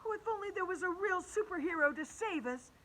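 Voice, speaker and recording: A woman reading an audiobook voices a young schoolgirl in a high, gushing tone. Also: lively intonation, fairly quick, pushed and bright, slight background noise, full character voice.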